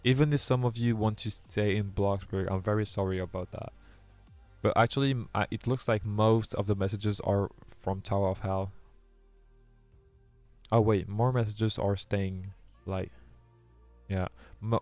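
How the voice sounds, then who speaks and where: A man's voice: on the low side, a little mellow, clear, resonant tone, no room sound. A young man from France with a French accent, a native French speaker, chatting with viewers and reading their chat aloud during a live game stream, often restarting his sentences. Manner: reads chat aloud, many restarts, earnest.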